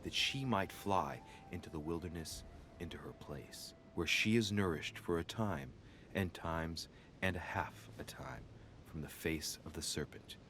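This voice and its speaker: An actor playing a brooding young man in a video game, investigating clues and deliberately musing aloud to himself. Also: a bit bright. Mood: solemn. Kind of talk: dramatic video game acting, reading aloud. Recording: low rumbling background noise.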